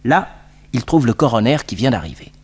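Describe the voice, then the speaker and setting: An actor playing a detective's loyal assistant who narrates the adventure crisply, in the style of an old French radio serial. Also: male voice, mid-range voice, sing-song, fairly quick. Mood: brisk narration.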